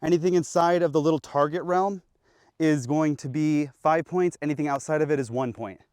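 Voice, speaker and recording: A man's voice: a little high, steady tone, brisk, darkish tone, clean tone, no echo, clean studio sound. Hyped young guy vlogging outdoors. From Pacific Northwest of the US. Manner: hyped, slangy, talks to camera. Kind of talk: excited outdoor archery vlog. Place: open public land outdoors. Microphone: action camera.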